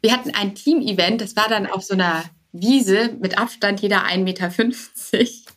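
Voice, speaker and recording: A woman's voice: medium pitch, normal speed, a bit bright. A woman speaking as a startup founder and podcast guest, answering casually and anecdotally with English business terms mixed in.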